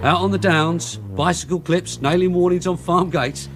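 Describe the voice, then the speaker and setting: An actor playing a seasoned, streetwise English detective sergeant in a radio drama, brisk, bossy and wryly matter-of-fact. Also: male voice, on the high side, noisy background, close to an everyday voice. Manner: wry, matter-of-fact, instructive. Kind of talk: British radio drama acting.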